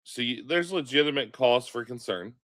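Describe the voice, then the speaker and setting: A man hosting a casual sports podcast, sounding stuffy from a cold, chatting in a relaxed way. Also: mid-range voice, normal speed. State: stuffy, congested. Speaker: podcast host.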